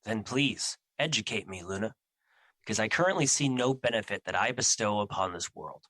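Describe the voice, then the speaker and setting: A man acts out a brooding male spirit of fear in an audio drama. His voice sits fairly low with a forceful edge, and his wording is solemn and formal, heavy with self-doubt. The sound is dry and close. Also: lively intonation, normal speed, dry recording. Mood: gloomy, doubting. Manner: formal, solemn, theatrical phrasing.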